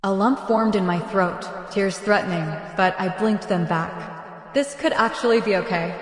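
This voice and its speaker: A woman playing a frightened but determined pregnant teenage girl in a dramatic roleplay story, halting and emotional. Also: mid-range voice, natural voice. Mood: tentative resolve. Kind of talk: dramatic first-person roleplay story acting and narration. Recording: echoey, long reverb, music underneath.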